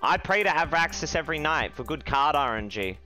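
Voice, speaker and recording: A young man streaming a card game from a small room, swearing freely and reacting loudly to each play. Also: fairly high pitch, a little fast, soft background music. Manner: profane, excitable, shouting when upset. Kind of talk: live game stream commentary.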